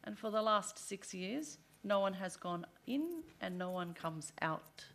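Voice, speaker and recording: A woman's voice: mid-range voice, animated, unhurried. A middle-aged woman speaking earnestly and emphatically at a seminar, sometimes voicing children in first person. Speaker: aid organization speaker. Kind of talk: seminar speech. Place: parliament seminar room.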